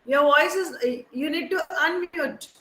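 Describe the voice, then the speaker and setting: A woman's voice: high-pitched, a little slow, bright, forceful tone, reverberant. A woman academic counselor calling on students in an online class, with hesitant fillers, on a video call. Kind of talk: leading an online class introduction.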